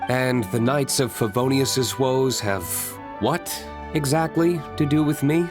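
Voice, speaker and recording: A man plays a reserved young gentleman of a fantasy game, master of a winery. His delivery is dry, composed and courteous, at a middling pitch, with music underneath. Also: a little slow, natural voice. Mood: curt, dry. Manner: dry, composed, matter-of-fact. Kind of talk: scripted video game voice acting. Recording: background music under dialogue.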